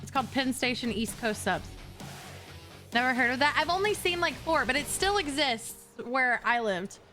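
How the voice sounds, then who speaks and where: A woman's voice: sits high, animated, normal speed, bright, forceful tone, soft background music. A young adult woman from Kentucky, chatting casually on a live stream and answering her chat.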